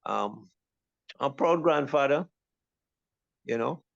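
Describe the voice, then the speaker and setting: Gravelly seventies guy, laid back, lots of ums, muffled lo-fi call. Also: mid-range voice, lively intonation, slow pace, no echo. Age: 70s. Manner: easygoing, with many ums. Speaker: panel guest. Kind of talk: casual chat.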